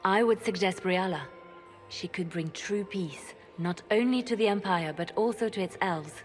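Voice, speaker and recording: An actress voicing a shrewd, ruthless female spymaster in a video game, with a measured, soft-spoken delivery. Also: mid-range voice, close to an everyday voice. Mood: cool. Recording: game dialogue over music.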